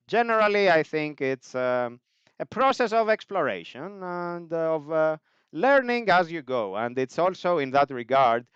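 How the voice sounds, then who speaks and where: A man's voice: mid-range voice, fairly bright tone, clear, resonant tone, no echo, muffled recording. A man from Greece with a Greek accent, hosting a casual livestream and answering chat questions in a thoughtful, rambling way with many fillers.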